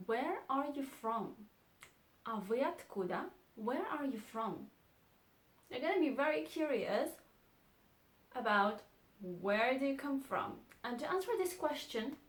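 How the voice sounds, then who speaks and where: A woman teaching a beginner language lesson, repeating short phrases slowly and clearly. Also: on the high side, reverberant. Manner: slow, clear model phrases, repeated twice. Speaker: Russian teacher.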